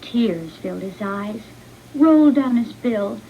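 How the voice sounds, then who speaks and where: A woman reading a children's storybook in an expressive, deliberate read-along manner. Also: fairly high pitch, phone-quality sound, slight background noise. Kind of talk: scripted children's storybook narration.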